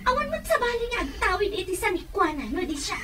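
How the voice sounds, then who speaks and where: Radio drama schemer: very high, swooping voice, sharp and taunting. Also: female voice, very animated, fast talker, a little hiss or hum, exaggerated voice. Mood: scheming.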